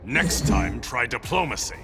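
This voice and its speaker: A man voices a proud video game king of the deep. He speaks in stern, imperious tones at a medium pitch that rises and falls dramatically. Loud music plays behind him. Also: expressive, measured pace, lightly acted. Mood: haughty, defiant. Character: proud, haughty warrior king of an undersea realm.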